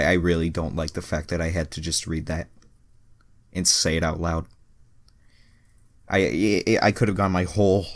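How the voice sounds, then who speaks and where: A man's voice: deep voice, no room sound. A man reading fan fiction aloud, breaking off into exasperated, sweary asides. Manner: exasperated, sweary commentary on the text. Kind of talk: dramatic reading with casual profane asides.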